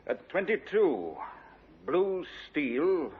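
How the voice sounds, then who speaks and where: A man's voice: on the high side, big pitch swings, drawn-out words, husky, full character voice. An actor playing a respectful, dutiful male police detective in a 1950s radio drama. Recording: tinny old radio recording.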